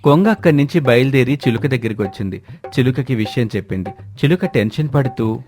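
A male storyteller reads a children's cartoon tale in scripted narration. His voice is mid-pitched, and music plays softly underneath. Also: animated, a little fast.

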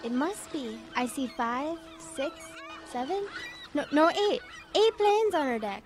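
A woman's voice: sits high, expressive, measured pace, faint music behind. A woman playing a plucky British schoolboy with an English accent in a wartime radio drama. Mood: excited. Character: plucky wartime British child, Nigel's school friend Brian.

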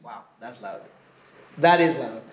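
A man's voice: medium pitch, lively intonation, takes it slowly. A man casually hosting an unscripted unboxing video, chatting informally and thinking aloud as he reviews a product. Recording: echoey room with clear background noise.

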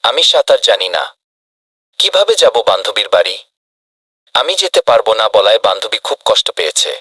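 A man voicing a talkative, friendly but anxious rabbit with a husky voice in a children's cartoon. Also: fairly low pitch, very animated, fairly quick, pushed and bright, no room sound, heavily acted. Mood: downcast. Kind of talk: dubbed children's cartoon dialogue.

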